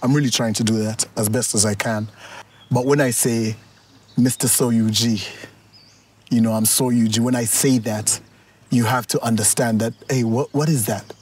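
A Ugandan man in his thirties, a musician sitting outdoors on a golf course and reflecting in a relaxed interview, with lots of restarts and chuckles. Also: mid-range voice, normal speed, a little hiss or hum. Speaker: musician and guest of a music talk show.

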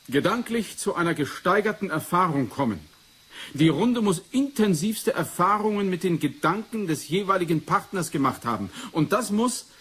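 A man's voice: on the high side, a bit bright, breathy edge, heavily acted. An actor playing an eager, insistent male investigator who muses and explains in a radio play. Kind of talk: 1980s German radio play acting.

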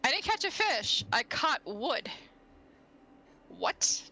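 Animated woman with a slight lisp. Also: high voice, slow pace, rough recording. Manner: animated, playful, shouts at the game. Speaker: vtuber playing a game. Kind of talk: casual chat while gaming.

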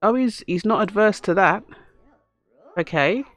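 Hoarse woman narrating her gameplay. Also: medium pitch, expressive, a little slow, dry recording. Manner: chatty, talks to the game characters. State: hoarse from a cough. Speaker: let's play host. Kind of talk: casual gameplay commentary.

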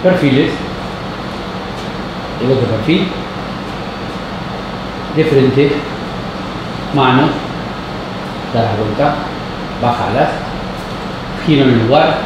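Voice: medium pitch, slow, pauses often. A casting director calling out short, curt commands to actors during a casting session. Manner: curt one-word commands. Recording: echoey studio, background hum.

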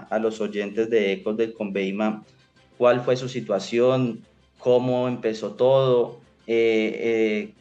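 A young man from Colombia, a lawyer, speaking in a formal, measured, careful way. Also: medium pitch, a little mellow, highs cut off. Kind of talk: formal legal statement on a call-in interview. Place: small indoor room.

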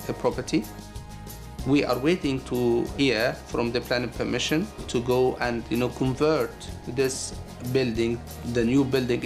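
A man in his forties explains things in a steady, matter-of-fact way and sometimes repeats a word as he goes. His voice sits at a medium pitch. Clear guitar music runs underneath. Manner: explanatory, steady, some repetitions. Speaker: project coordinator of a mosque. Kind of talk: documentary interview. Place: indoors and outside a house.

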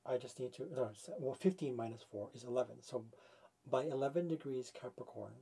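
A man's voice: mid-range voice, a little fast, gravelly, dark tone, breathy edge. A man in his forties talking casually to camera about astrology, thinking aloud and restarting as he works out sums. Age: 40s. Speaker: astrology video host. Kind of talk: casual explanatory talk to camera. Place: small room with foam panels, indoors.

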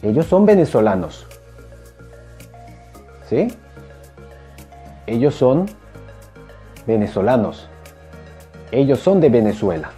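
A young man teaching a language lesson to camera in an emphatic, animated manner, repeating phrases. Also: mid-range voice, slow pace, long pauses, faint music behind. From Peru. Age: young adult. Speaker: Spanish teacher. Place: small indoor room, plain wall. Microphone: clip-on lapel mic.

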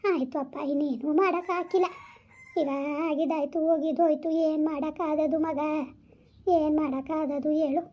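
A woman's voice: extremely high pitch, steady tone, a little fast, dull audio. A woman acting a doting older mother consoling her grown son in a rural southern Karnataka accent, coaxing and repeating herself fatalistically. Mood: tender, resigned. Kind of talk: amateur family melodrama.

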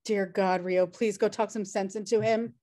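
A woman's voice: medium pitch, steady tone, normal speed, warm tone, natural voice. A woman reading the part of a sardonic, wisecracking slacker sister in a sitcom table read over a video call. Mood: exasperated. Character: flippant, sardonic slacker sister, adult twin. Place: indoor room at home on video call.

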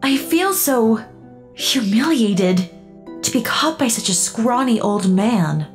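Haughty, naive cartoon alien girl, played by a woman: unhurried, formal, with swooping intonation. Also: fairly high pitch, animated, faint music behind. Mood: humiliated. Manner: formal, deliberate phrasing. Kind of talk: animated comedy dialogue.

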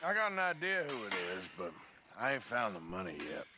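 An actor playing a gruff, laconic American outlaw gunslinger in a Western video game, with dry delivery. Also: male voice, mid-range voice, sing-song, brisk, background noise, natural voice. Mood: dry, matter-of-fact. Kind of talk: game cutscene acting.

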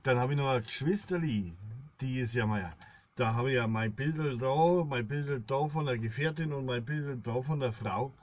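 A man's voice: medium pitch, moderate pace. A middle-aged man rambling casually to his viewers in a colloquial, disjointed monologue. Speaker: vlogger presenting music. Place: small dim room, indoors, seated at a table.

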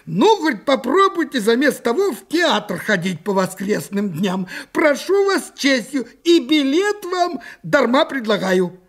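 A man's voice: very high voice, sing-song, measured pace, few pauses, exaggerated voice. A man voicing a good-natured, earnest well-wisher in a comic story, pleading politely and coaxingly.

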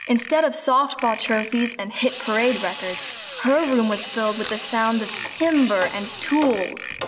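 A woman playing the theatrical, measured storybook narrator of a quirky radio play. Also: a little high, expressive, noisy background. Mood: wry, storytelling.

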